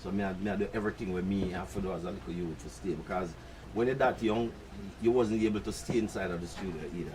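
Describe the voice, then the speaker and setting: A man's voice: sits low, fast, hardly any pauses, noisy background. An older man with a Jamaican accent, telling rambling stories in patois at a press conference. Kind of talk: relaxed storytelling.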